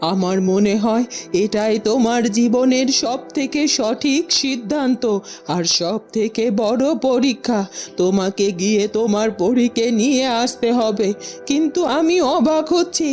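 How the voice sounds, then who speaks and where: A woman's voice: on the high side, darkish tone, natural voice. A woman playing a caring old royal grandmother in a cartoon, solemn and tender in her dramatic delivery. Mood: encouraging.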